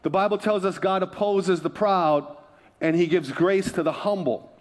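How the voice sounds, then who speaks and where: Man, pastor from Hawaii, fairly high-pitched, sermon, repeats key phrases, prompts congregation. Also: normal speed. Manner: emphatic repetition, calls for congregational response.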